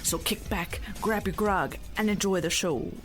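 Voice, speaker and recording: A hearty pirate captain, played by a woman hosts a podcast, reading scripted narration with pirate slang. The voice is medium in pitch, with clear music underneath. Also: measured pace, a bit bright, natural voice. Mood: hearty, informative. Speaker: podcast host.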